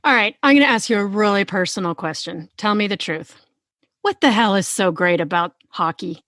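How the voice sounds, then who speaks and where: A woman's voice: medium pitch, moderate pace, a bit bright, dry recording. Frank woman host, very dry close sound. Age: middle-aged. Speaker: podcast host. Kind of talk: casual, informal interview chat.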